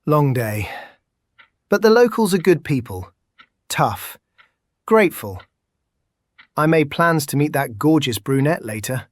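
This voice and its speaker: A man's voice: fairly high pitch, lively intonation, a little slow, long pauses, no room sound, studio quality. An actor playing an easygoing, flirtatious male field doctor in an audio drama, wry and laid-back. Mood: wry, relaxed.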